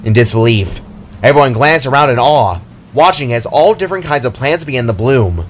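A man's voice: medium pitch, expressive, a little fast, a little hiss or hum. A man reading his fantasy story aloud as a dramatic scripted narration. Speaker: author narrating own story.

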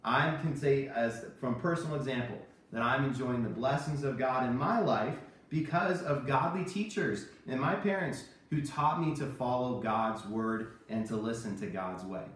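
A man's voice: medium pitch, normal speed, hardly any pauses, fairly bright tone. A man in his thirties giving children an emphatic, repetitive Bible lesson in a kitchen. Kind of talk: kids' sermon with a puppet sidekick. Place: home kitchen, indoors. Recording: very echoey room, distant microphone.

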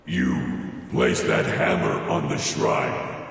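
Scornful god from a fantasy game, slow and bossy, huge echo, swoopy gravelly voice. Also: male voice, very deep voice, huge pitch swings, full character voice. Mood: stern, commanding. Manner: imperious, scornful, deliberate. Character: stern, commanding daedric god passing judgement. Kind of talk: fantasy video game dialogue. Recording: echoing hall reverb, faint music.

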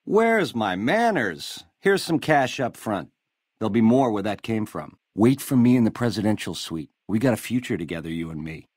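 An actor playing a slick, swaggering casino gangster, cocky and glib, in a video game full of 1950s lounge slang. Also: male voice, on the low side, sing-song, normal speed, a little mellow, breathy edge, no echo, clean studio sound. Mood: smug, smooth.

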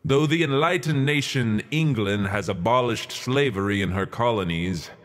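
A man performing a dramatic reading as a stern, resolute 19th-century religious leader, orating in a formal, measured, declamatory manner. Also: lowish voice, fairly bright tone. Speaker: reader.

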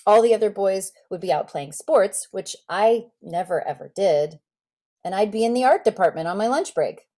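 A woman's voice: medium pitch, animated, moderate pace, mellow, lightly acted. Self-absorbed artist guy voiced by a woman, blunt, emphatic, confiding, conversational, literary reading. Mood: reminiscing. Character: blunt, self-absorbed artist man, recalling his life.

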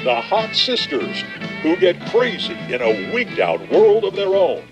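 A booming 1950s movie trailer announcer. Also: male voice. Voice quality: over-the-top, breathless ballyhoo delivery. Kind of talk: vintage trailer voice-over. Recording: voice over backing music.

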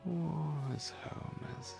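A man playing a possessive, commanding vampire lord in an ASMR roleplay, speaking in breathy, gravelly whispers with slow, menacing condescension. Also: fairly high pitch, over music, full character voice. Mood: smug, coaxing. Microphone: close microphone.

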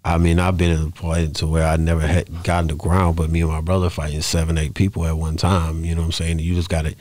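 A man's voice: very deep voice, completely flat delivery, quick pace, a little mellow, no echo. A man around his thirties, talking casually and rambling through stories on a close studio mic. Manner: rambling storytelling, punctuated with "you know what I'm saying". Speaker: podcast guest, rapper. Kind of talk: casual interview talk. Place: small podcast studio, indoors. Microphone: close studio microphone on arm, headphones.

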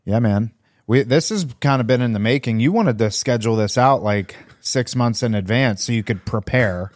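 A man's voice: mid-range voice, normal speed, no room sound, studio quality. Man hosting a casual podcast. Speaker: podcast host. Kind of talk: casual interview chat. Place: small indoor podcast studio. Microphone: close studio microphone.

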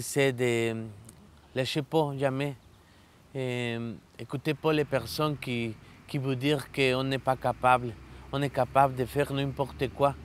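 A man in his thirties from Mexico, with a Mexican Spanish accent, speaking French as a learner; an adult literacy student giving an earnest, halting testimonial outdoors in a riverside park, with many restarts and fillers. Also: mid-range voice, clear, resonant tone. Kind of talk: filmed testimonial interview.

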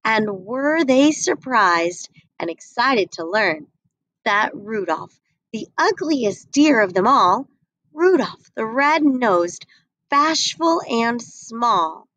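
Slow, expressive young woman reading aloud. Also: fairly high pitch, dry recording, poor audio. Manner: slow, expressive rhyming delivery. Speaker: storybook reader. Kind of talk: read-aloud storytelling. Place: small indoor room. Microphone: webcam at desk.

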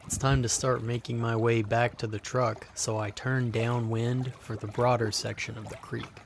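A man giving a measured, matter-of-fact scripted voice-over narration as a sailing vlogger, with water and boat sounds behind him. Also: mid-range voice, level tone, gravelly, a little hiss or hum.

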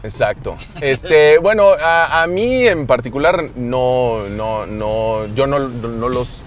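A man with a Mexican accent, a voice actor and announcer, explaining his work in a relaxed chat as a passenger in an open-top car. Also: medium pitch, slow pace, soft background music. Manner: easygoing, explains patiently with many fillers. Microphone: car-mounted camera.

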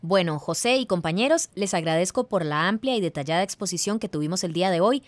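A woman host giving scripted narration in a measured, formal manner, like a teacher. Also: medium pitch, no echo.

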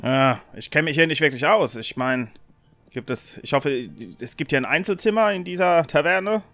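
A man's voice: a little high, moderate pace, a bit bright, no echo, faint music behind, lightly acted. A man voicing an uneasy, politely spoken travelling merchant in a tabletop game, speaking hesitantly.

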